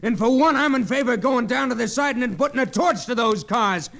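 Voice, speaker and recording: A man's voice: very high voice, quick pace, vocal fry, fairly bright tone, heavily acted. A man playing a hot-headed, scornful rancher in an old radio western, belligerent and sarcastic. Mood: angry incitement. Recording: faintly noisy old radio recording.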